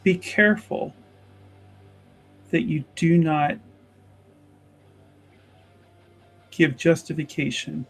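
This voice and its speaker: The husky, careful peacemaker of a fantasy roleplaying stream, played by a man, offering solemn counsel in measured pieces while faint guitar plays. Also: on the high side, heavily acted. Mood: grave warning. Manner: measured, halting, earnest. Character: earnest, conciliatory chantry member, solemn and cautioning. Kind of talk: in-character improvised dramatic dialogue.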